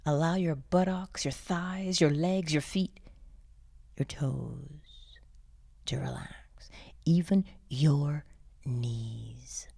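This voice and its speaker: A woman guides a sleep meditation at a very slow pace, soothing and gently encouraging. Her voice is low and soft, often dropping to a breathy near-whisper with some creak at the edges. She takes her time and lets each instruction settle. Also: no echo, clean studio sound.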